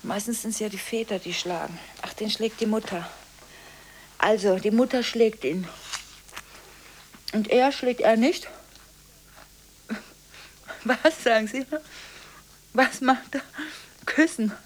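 A restless, volatile headmistress on the phone. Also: female voice, a little high, takes it slowly, long pauses, slight background noise. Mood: businesslike, uneasy. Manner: conversational, restless, breaking off and repeating herself. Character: volatile, contradictory school headmistress on frantic phone calls. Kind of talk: radio drama monologue of phone calls.

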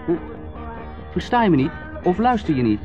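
A man's voice: high-pitched, big pitch swings, moderate pace, music in the background. An actor playing a nervous, hesitant man who rambles and repeats himself, in 1970s radio drama style. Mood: insistent. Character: Joris, nervous chattering man visiting his estranged brother. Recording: dull, noisy 1970s radio recording.

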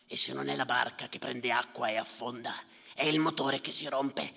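A man acting a callous, muttering bureaucrat in a theatrical monologue, with a gravelly rasp and a sardonic, contemptuous, repetitive manner. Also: medium pitch, normal speed, bright, forceful tone, exaggerated voice. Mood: grim, sardonic. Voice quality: gravelly, rasping. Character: callous, muttering male bureaucrat tallying drowned migrants. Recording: reverberant hall, live stage.

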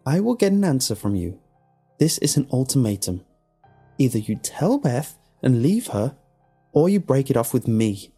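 A man's voice: fairly high pitch, sing-song, unhurried, soft background music, voice pushed up. A man voicing a jealous, demanding mistress in an audio story, hammering out each word with emphasis. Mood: triumphant, demanding. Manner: forceful, emphatic syllables. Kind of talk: dramatic audio story dialogue.

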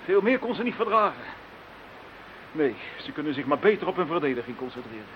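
Anxious, higher-voiced pessimistic man. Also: big pitch swings, fairly quick. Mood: worried, doubtful. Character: anxious, pessimistic, agitated man in a conspiracy thriller. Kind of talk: Dutch radio play acting. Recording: dull, hissy radio play recording.

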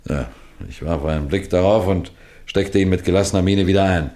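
An actor playing a seasoned police detective in a radio crime drama, measured, courteous and building suspense as he tells his story. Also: deep voice, darkish tone, natural voice. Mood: suspenseful recounting. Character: seasoned, composed male detective superintendent telling his story.

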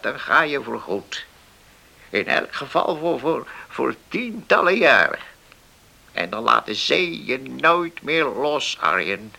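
A man's voice: medium pitch, vocal fry, pushed and bright, full character voice. A man acting an old seaman in a Dutch radio play, kindly and fatherly, hesitating and repeating his words as he gives counsel. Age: older.

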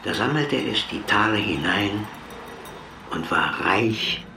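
A man's voice: lowish voice, animated, slow, vocal fry, background noise. A man giving a scripted recitation of old fairy tales and rhymes. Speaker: reader of tales and verse. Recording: roomy, distant from the mic. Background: music.

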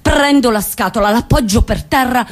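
Expressive woman in a comic monologue. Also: on the high side, normal speed. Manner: expressive, dramatic storytelling. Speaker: stage actress performing a monologue. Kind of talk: theatrical first-person comic monologue.

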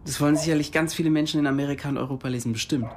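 A woman's voice: low voice, steady tone, very fast, very noisy, lightly acted. A woman in a German radio drama playing an eager young friend, coaxing and insistent in pursuit of a secret. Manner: insistent, persuasive.

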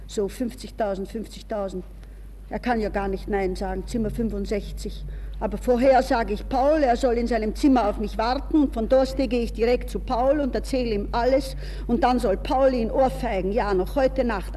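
A woman's voice: fairly high pitch, warm tone, close to an everyday voice. A woman playing a vain, defiant, distraught young lady in a theatrical radio drama monologue, talking feverishly to herself. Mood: agitated, nervous. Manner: theatrical, self-addressed, swinging from murmurs to exclamations. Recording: reverberant hall sound, faint noise.

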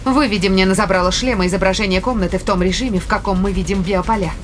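Brisk, commanding space crewwoman, fast-talking actress playing her. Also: mid-range voice, soft background music, lightly acted. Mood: urgent, commanding. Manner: urgent, clipped orders. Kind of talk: sci-fi audio drama acting.

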